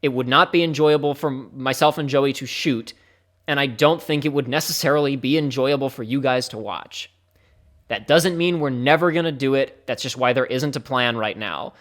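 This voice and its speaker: A young man with a fairly high-pitched voice, talking to his viewers in a rambling, candid way during a live stream. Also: normal speed, a bit bright. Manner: rambling, candid, speeds up and trails off. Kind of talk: casual live-stream talk to chat.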